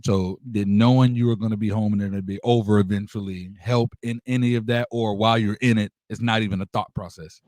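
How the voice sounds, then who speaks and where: Low, mellow male interview host. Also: steady tone, dry recording. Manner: halting, rephrases his questions. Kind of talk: casual chat interview.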